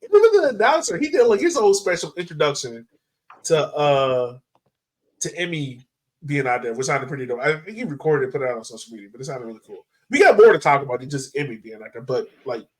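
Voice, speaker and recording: A man hosting a casual sports podcast, talking in an excitable, slangy way. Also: on the high side, fast talker, rough recording. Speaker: podcast host.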